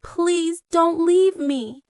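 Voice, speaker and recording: A woman's voice: very high voice, very slow, darkish tone, dry recording, studio quality, voice pushed up, full character voice. A woman voicing a terrified little girl in an audiobook, pleading shrilly and desperately. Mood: tearful pleading. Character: small terrified girl of about eight, pleading for her mother.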